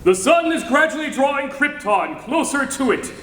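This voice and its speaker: Guy doing intense doomsday scientist for a radio drama, real high sharp voice, echoey hall. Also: lively intonation, moderate pace. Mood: dire, impassioned. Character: grave, impassioned male scientist of a doomed planet. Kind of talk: 1940s-style radio acting.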